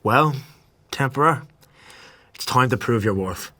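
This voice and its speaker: An actor playing a gruff, sardonic scavenger gang boss who taunts and threatens in a fantasy audio drama. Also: male voice, mid-range voice, lively intonation, a little slow, bright, forceful tone. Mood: grudging, taunting. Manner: foul-mouthed, taunting, threatening.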